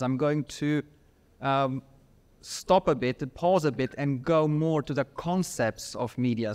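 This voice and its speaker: A middle-aged man with an Austrian accent giving a workshop lecture, measured and pausing often with fillers. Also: a little high.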